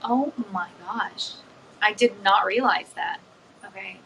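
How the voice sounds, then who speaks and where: A woman's voice: mid-range voice, slow, creaky, bright, forceful tone, slight background noise, low-quality recording. A woman TV news reporter interviewing a guest, asking questions in a conversational, curious way.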